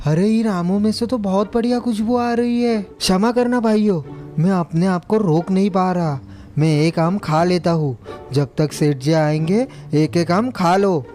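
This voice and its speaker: A man's voice: sits high, unhurried, darkish tone, soft background music. A man playing a naive, respectful cart driver in a moral story, speaking earnestly and deferentially. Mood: admiring, tempted. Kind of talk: acted moral story dialogue.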